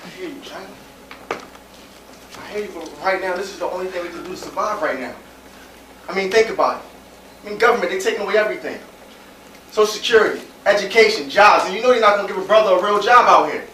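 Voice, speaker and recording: Roomy, distant stage recording with faint noise. A male actor plays a high-voiced young American man, frustrated and determined, pleading his case in insistent, slangy stage-drama speech. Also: fast, long pauses, fairly bright tone. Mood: frustrated, defiant. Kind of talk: stage play acting.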